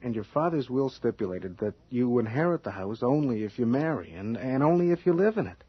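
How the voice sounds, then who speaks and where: An actor playing a practical, coaxing but impatient husband in an old-time radio drama, informal in manner. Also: male voice, mid-range voice, very animated, a little fast, husky, no echo. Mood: insistent. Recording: muffled, noisy old radio recording.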